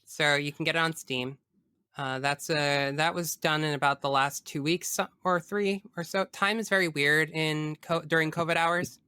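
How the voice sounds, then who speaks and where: Young female podcast guest: crisp, forward voice, emphatic and casual, enthusiast's delivery. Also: low-pitched, steady tone, normal speed, sharp tone, dry recording, studio quality. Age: young adult. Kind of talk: casual interview chat about video games.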